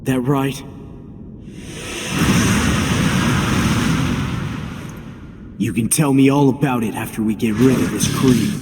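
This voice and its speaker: An actor playing a steady, blunt comrade and mentor in a game cutscene, speaking in a measured, frank way. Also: male voice, mid-range voice, noisy background, lightly acted. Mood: impatient. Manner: measured, frank, matter-of-fact. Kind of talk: scripted game cutscene acting.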